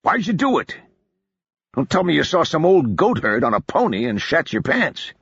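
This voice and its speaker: A gruff, coarse army captain with a heavy mountain accent, as a male audiobook narrator puts him on. He needles and jabs, his voice pitched high and bouncing around. The audio is dry and a bit dull. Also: big pitch swings, moderate pace, fairly bright tone, no room sound, exaggerated voice. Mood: gruff mocking. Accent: heavy mountain accent (fictional).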